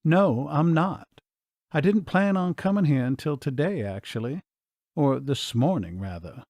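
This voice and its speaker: A man's voice: a little high, lively intonation, a little slow, darkish tone, no room sound. A man voicing a young woman who ran away from home in Texas. He makes her hesitant and evasive.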